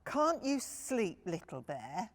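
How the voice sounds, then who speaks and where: A woman voicing a big, caring grown-up male bear in a children's picture-book story, gentle and coaxing like a parent. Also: mid-range voice, very animated, slow. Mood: questioning.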